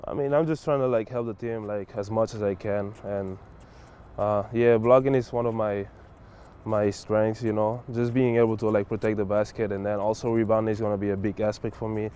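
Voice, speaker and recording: A young man from Spain with a low, mellow voice that stays smooth and clear. He answers in a flat, unhurried way and drops filler words as he talks. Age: young adult. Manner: relaxed, many fillers like "like" and "whatever". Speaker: college basketball player. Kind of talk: casual interview answers.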